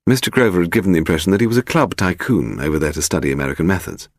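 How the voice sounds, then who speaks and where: A man's voice: sits low, animated, rapid-fire, no room sound, clean studio sound. A man reading a scripted story as an audiobook narrator, acting it out.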